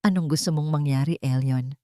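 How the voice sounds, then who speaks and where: A woman's voice: low-pitched, brisk, no echo, close to an everyday voice. Resolute wronged mother, played by a female storyteller, firm, restrained, audio melodrama, close dry recording. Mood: steady challenge. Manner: quiet but firm. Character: resolute wronged young mother, steady despite fear.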